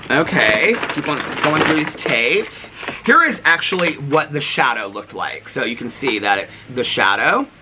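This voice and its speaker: An American man chatting casually to camera as a vlogger, in a gushing, easily excited manner. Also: fairly high pitch. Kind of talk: casual show-and-tell chat to camera. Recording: clearly noisy home recording with clicks.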